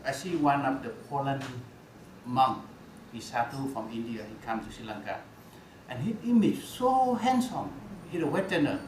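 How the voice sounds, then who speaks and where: A male monk recounts a story in halting English, stopping often to search for words. His voice sits at a middle pitch and rings a little in a roomy space. A faint noise hangs behind him. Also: lively intonation. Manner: halting non-fluent English, many "uh" fillers and restarts. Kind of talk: storytelling dharma talk.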